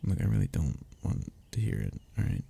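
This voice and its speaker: A young man playing a wistful, lovestruck childhood best friend in an intimate audio roleplay, speaking in a halting, sighing, confessional way. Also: sits low, very animated, creaky, warm tone, breathy edge, heavily acted. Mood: reluctant, teasing. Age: young adult.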